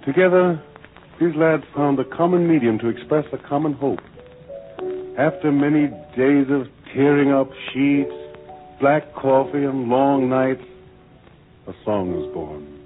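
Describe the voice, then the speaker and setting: An American man delivering formal, deliberate, oratorical narration in 1940s radio style, on a tinny, noisy old recording. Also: medium pitch, over music.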